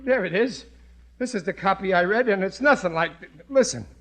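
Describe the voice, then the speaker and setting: An actor playing a bumbling, excitable working-class husband in a 1950s radio comedy, earnest and thick-headed, stammering and blustering with rough grammar. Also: male voice, high voice, brisk. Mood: agitated. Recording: noisy vintage 1950s radio broadcast.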